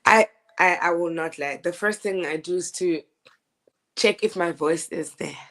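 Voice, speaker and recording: A woman with a deep speaking voice, an opera singer telling her story in a lively, laughing, stop-start way. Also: unhurried. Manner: animated, laughing storyteller with frequent restarts. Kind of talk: casual interview chat.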